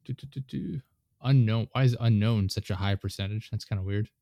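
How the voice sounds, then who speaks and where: A man's voice: on the low side, a little fast, gravelly, dark tone, no echo. A man in his late twenties hosting a casual podcast chat, rambling with many fillers and restarts. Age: 20s. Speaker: podcast host.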